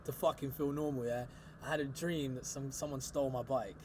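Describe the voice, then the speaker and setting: A young man with a raspy voice, rambling through stories in slang as a guest in a casual chat. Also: medium pitch, fairly even intonation, a little fast, slight background noise. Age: young adult. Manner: rambling slang-heavy storytelling. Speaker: guest rapper and producer.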